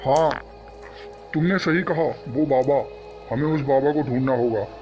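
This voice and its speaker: A man's voice: mid-range voice, a little slow, a little mellow, natural voice. A man voicing an earnest, urgent young man who leads his friends in a cartoon horror story. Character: guilt-ridden, pleading young man leading his friends. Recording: dull lo-fi audio under music.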